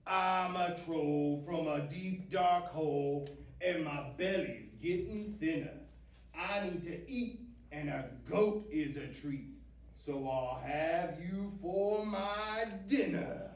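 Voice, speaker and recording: A man voicing a big, hairy, cranky and dim-witted troll in a storybook tale, with drawn-out exclamations and sing-song chanting. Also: sits high, wildly swooping pitch, creaky, a little mellow, pitched above the performer's own voice, full character voice. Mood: cranky, menacing. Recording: roomy, distant mic with faint noise.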